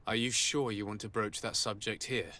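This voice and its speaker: An actor playing a composed, courteous but pressing investigator in a video game. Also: male voice, fairly low pitch, steady tone, normal speed, sharp tone. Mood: polite, probing.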